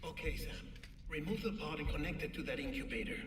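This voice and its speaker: An actor playing a detached, clinical scientist in a game cutscene, measured, precise and matter-of-fact. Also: male voice, a little high, animated, far from the mic, noisy background, close to an everyday voice. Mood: clinical, explaining. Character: clinical, detached male scientist explaining equipment. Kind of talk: cinematic game cutscene acting. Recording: echoey hall playback, loud music behind. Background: loud music.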